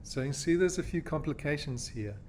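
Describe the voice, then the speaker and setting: A man's voice: a little high, animated, brisk, a little mellow, slight background noise. A man from Australia teaching a geometry class, explaining step by step and checking in with his students often. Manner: patient, checks in with "okay?" and "yeah?".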